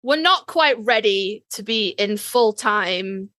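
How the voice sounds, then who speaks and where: A woman's voice: mid-range voice, animated, takes it slowly, pushed and bright, no room sound, studio quality. A British woman co-hosting a podcast in a casual, chatty and freely sweary way. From United Kingdom. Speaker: podcast co-host. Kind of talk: casual fandom podcast chat.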